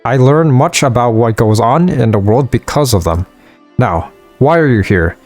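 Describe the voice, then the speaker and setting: A man reading a wise male mage's game dialogue aloud in a measured tone. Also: mid-range voice, expressive, darkish tone, faint music behind, close to an everyday voice.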